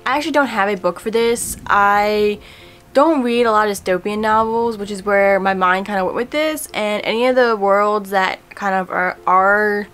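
A young woman chats about her favorite books in a relaxed, rambling way, full of fillers. Her voice sits in a middle range for a woman. She is recorded at home on a camera a short way off, with soft music underneath. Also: mid-range voice, normal speed. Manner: chatty and relaxed, many fillers. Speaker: book vlogger. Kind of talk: casual book tag vlog. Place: home room in front of bookshelves.

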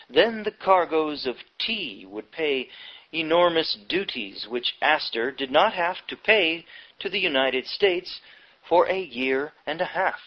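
A man reading a nonfiction history book aloud from the text, with deliberate phrasing broken into short, halting chunks. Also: a little high. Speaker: audiobook reader. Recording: dull, lo-fi audiobook recording.

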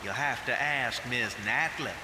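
A man's voice: medium pitch, a little slow, natural voice. An actor playing a brash, menacing cowboy henchman in a video game, drawling folksy threats in a Southern American accent. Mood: menacing, taunting. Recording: echoey cave, loud water hiss.

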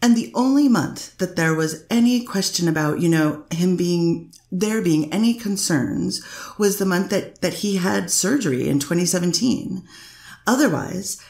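A lower-voiced woman attorney questions a witness in an echoey courtroom. She builds her case with leading questions and tag endings that push for agreement. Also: animated, a little slow, creaky, a little mellow. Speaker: defense attorney. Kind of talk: courtroom cross-examination.

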